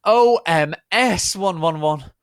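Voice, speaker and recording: A man voicing a gushing, excitable goth teenage boy who exclaims melodramatically in a story reading. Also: on the high side, slow pace, clean tone, no room sound, clean studio sound, pitched above the performer's own voice.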